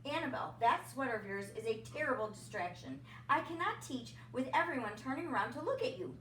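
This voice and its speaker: A woman's voice: high voice, expressive, fairly quick, a bit bright, echoey room, distant mic, slight background noise, low-quality recording. A woman voicing a stern, flustered male schoolteacher in a storybook, scolding emphatically.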